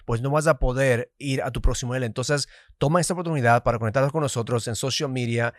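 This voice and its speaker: A man with a mixed Ecuadorian and Dominican Spanish accent, co-hosting a podcast. He talks with energy, restarts words and slips in English terms. His voice is mid-pitched, recorded dry and close. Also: fast, no echo. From Ecuador, raised in the United States. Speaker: podcast co-host. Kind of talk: business podcast conversation.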